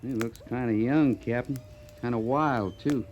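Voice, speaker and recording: A man as a mellow, grumbling frontier trooper. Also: mid-range voice, unhurried, muffled recording, close to an everyday voice. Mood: uneasy, wry. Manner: drawling, folksy, grumbling. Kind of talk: old-time radio western acting. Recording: hissy old radio drama recording.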